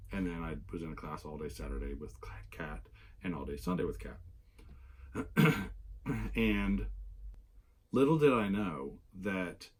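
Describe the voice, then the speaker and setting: A man, a little hoarse after losing his voice, chatting casually in a rambling way with many fillers as the host of his knitting vlog. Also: low-pitched.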